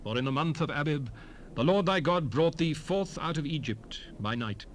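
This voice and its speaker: Man playing a solemn, expressive scripture lawgiver. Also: a little high, natural voice. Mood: solemn, commanding. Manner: solemn, declamatory, measured phrasing. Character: solemn male lawgiver proclaiming commandments from scripture. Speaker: dramatized Bible reader. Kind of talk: dramatized King James scripture recitation. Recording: hissy 1970s stereo cassette rip.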